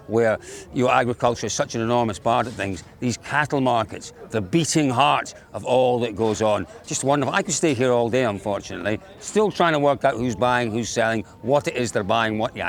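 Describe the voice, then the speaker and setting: Jovial Scottish presenter outdoors. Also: male voice, medium pitch, vocal fry. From Scotland. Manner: jovial, joking, enthusiastic. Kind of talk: chatty on-location presenting. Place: outdoor rural market.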